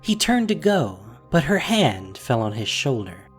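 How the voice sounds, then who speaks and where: A woman narrating a fantasy audio drama in an expressive, scripted storytelling style. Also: extremely low pitch, measured pace, soft background music.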